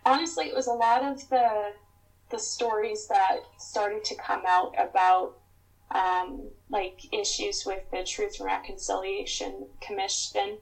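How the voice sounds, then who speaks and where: A woman's voice: mid-range voice, fairly even intonation, a little slow, creaky, low-quality recording. A Canadian woman talking as a podcast guest on a remote call, reflective and hesitant, with many fillers. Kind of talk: casual remote podcast chat.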